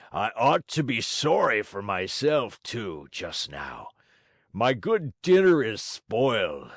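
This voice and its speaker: A man voicing hoarse, booming puppet-master Fire Eater. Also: no room sound, clean studio sound, heavily acted. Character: gruff bearded male puppet-show director, hungry, bad-tempered yet softhearted.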